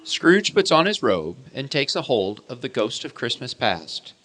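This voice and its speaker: Male radio play narrator: bright, lively scripted storytelling with music underneath. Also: mid-range voice, unhurried. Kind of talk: scripted dramatic narration in present tense.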